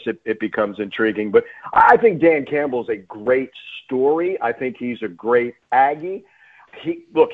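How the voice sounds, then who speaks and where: A man's voice: mid-range voice, phone-quality sound. Emphatic sports radio man, slightly thin sound. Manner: emphatic, repeats phrases for stress. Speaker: sports play-by-play announcer, interview guest. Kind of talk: animated sports radio interview talk.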